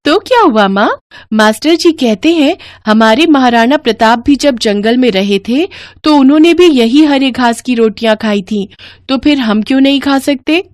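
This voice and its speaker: A woman's voice: high-pitched, animated, moderate pace, no room sound, studio quality, voice pushed up. A woman voicing an innocent, cheerful young village girl, an eager and loving daughter in a cartoon story. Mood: eager, reassuring. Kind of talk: animated moral story dialogue.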